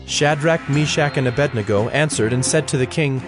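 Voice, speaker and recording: A man narrating a dramatized Bible story in a measured, solemn storytelling manner. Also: medium pitch, over music.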